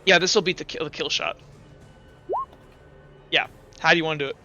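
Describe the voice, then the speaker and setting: A man's voice: a little high, fairly even intonation, fairly quick, bright, forceful tone, soft background music. A young man narrating as game master of a tabletop game, rambling with lots of fillers. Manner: rambling, lots of likes and uhs. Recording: dull lo-fi mic.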